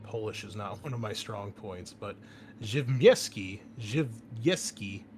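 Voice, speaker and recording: A man's voice: fairly low pitch, lively intonation, slow, darkish tone. A man hosting a tabletop game as game master, chatting casually as he introduces the session. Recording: clear background noise.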